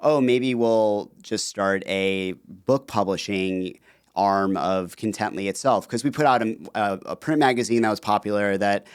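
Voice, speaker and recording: Low, smooth-voiced man telling a story. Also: measured pace, no echo, studio quality. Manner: relaxed storytelling with frequent fillers. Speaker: podcast guest, author. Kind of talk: casual interview conversation.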